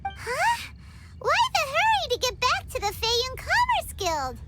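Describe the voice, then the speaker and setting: Very high squeaky fairy, excitable, lively swoops, loud game music behind. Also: female voice, slow pace, exaggerated voice. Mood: chatty. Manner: excitable, chirpy, exclamatory. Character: tiny floating fairy companion, chatty and excitable. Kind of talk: game cutscene voice acting.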